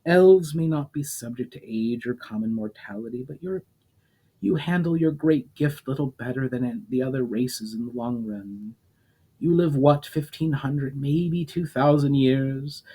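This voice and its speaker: A man's voice: mid-range voice, lively intonation, unhurried, mellow, husky, voice pushed up, rough recording. A man reading a story aloud, voicing an ancient, scornful immortal demon who lectures coldly and derisively. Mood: cold lecturing.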